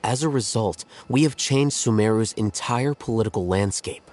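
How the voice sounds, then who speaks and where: A man voicing a calm, scholarly strategist in a video game, speaking in a measured, explanatory way. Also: lowish voice, slight background noise, lightly acted. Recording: game audio with faint music.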